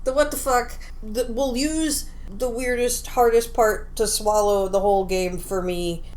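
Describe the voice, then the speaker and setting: A woman's voice: a little high, steady tone, a little slow, darkish tone. A woman co-hosting a podcast, talking casually as she reviews a game. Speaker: podcast co-host.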